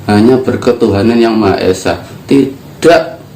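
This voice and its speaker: A man's voice: fairly low pitch, a little slow, a little mellow, a little hiss or hum. An older man from East Java, giving an earnest, emphatic religious teaching talk. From East Java, Indonesia. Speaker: spiritual teacher. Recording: echoey room.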